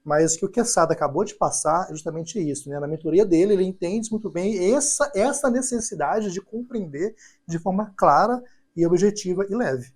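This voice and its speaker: A man's voice: high voice, lively intonation, brisk, darkish tone. A young man speaking as a psychologist guest on a podcast, affirming and telling stories. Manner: affirming, storytelling, frequent "né" and "perfeito". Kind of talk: podcast interview chat.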